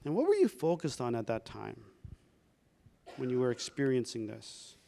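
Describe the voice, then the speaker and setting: Gravelly-voiced man guiding a reflection. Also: mid-range voice. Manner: slow, calm prompting questions. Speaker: palliative medicine doctor leading a workshop. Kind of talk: guided reflection exercise.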